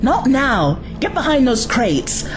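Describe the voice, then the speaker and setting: A woman's voice: sits high, sing-song, slow, heavily acted. A woman playing a wary, resolute young companion in an audio drama, firm and urgent. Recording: music under the voice.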